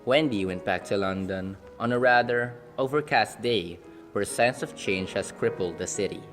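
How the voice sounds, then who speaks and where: A man's voice: fairly low pitch, normal speed. Reverberant male narrator. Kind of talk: scripted storybook narration. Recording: long reverb, music behind.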